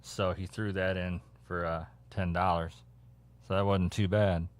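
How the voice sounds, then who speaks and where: A man's voice: low voice, little pitch movement, a little slow, background noise. A man who is a CB hobbyist, chatting casually and explaining things in an easygoing, conversational way. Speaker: CB radio hobbyist. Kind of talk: casual radio chat with explanations.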